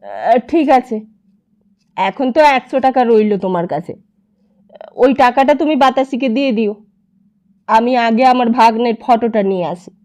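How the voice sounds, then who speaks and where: A woman's voice: a little high, animated, moderate pace, long pauses, a little mellow, natural voice. A woman voicing a male story character who bargains insistently and agrees grudgingly. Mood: grudging agreement. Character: impatient, bargaining man in a hurry to get help.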